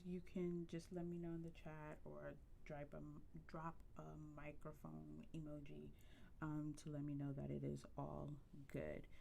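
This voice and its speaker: A soft-spoken woman chats casually as she streams a game, leaning close to her microphone. Her lowish voice carries a gravelly, fry-edged texture. A faint hum of noise sits under the recording. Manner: soft-spoken, chatty, with frequent ums. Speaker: livestreamer. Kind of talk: casual gaming livestream chat. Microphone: close to a desk microphone.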